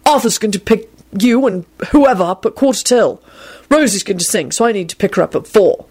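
A woman's voice: mid-range voice, animated, a little fast, a little mellow, pitched above the performer's own voice. A woman voicing an earnest, easily outraged young man in an audiobook story, talking in a heated, emphatic way. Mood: heated, solemn.

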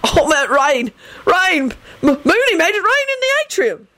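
A woman's voice: fairly high pitch, expressive, normal speed, pitched above the performer's own voice, natural voice. A woman voicing a cheeky, scheming male wizard, emphatic and conspiratorial, in a story reading. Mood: flustered.

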